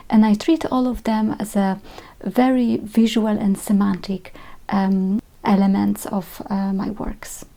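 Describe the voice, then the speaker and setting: Woman, artist, reflective, hesitant pauses, dark mellow tone. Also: medium pitch, little pitch movement, vocal fry. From Poland. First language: Polish. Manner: measured, with frequent hesitations. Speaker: visual artist. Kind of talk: reflective talk on her art practice.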